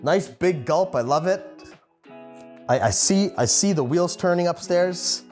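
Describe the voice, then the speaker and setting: A man's voice: high-pitched, expressive, measured pace, darkish tone, faint music behind. A man hosting a cooking show, playful and instructive as he talks viewers through a recipe. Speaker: chef and host. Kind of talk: upbeat cooking show presenting.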